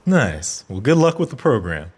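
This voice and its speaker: An actor playing an earnest community organizer in an audio drama, friendly and encouraging in a natural way. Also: male voice, fairly low pitch, very animated, normal speed, a little hiss or hum.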